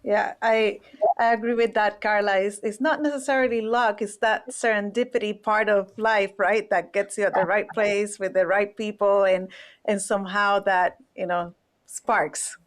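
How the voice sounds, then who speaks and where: A woman's voice: medium pitch, fairly even intonation, moderate pace. A woman co-hosting a podcast, asking the guest questions in a casual, friendly way. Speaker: podcast co-host.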